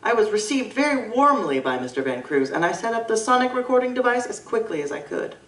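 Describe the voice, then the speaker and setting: A woman giving measured, scripted first-person narration for a live audio drama. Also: a little high, sing-song, fairly bright tone. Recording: roomy live stage from a distance.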